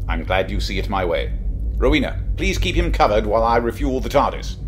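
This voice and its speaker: Man playing an animated, eccentric time-traveling adventurer. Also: fairly low pitch, fairly quick, loud music behind the voice. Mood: wry, confident. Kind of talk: sci-fi audio drama acting.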